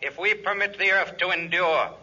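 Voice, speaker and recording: An actor playing an arrogant visitor from outer space in a 1950s radio serial, giving orders in stilted, formal speech. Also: male voice, high-pitched, moderate pace, pushed and bright, exaggerated voice. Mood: stern, threatening. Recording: dull, raspy 1950s radio recording.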